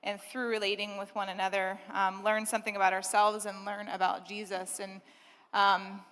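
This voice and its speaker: A woman's voice: medium pitch, level tone, moderate pace, a bit bright, long reverb tail. A young woman hosting a church youth gathering, introducing speakers with a hesitant, um-filled delivery. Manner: hesitant, many "um"s. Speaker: youth ministry host.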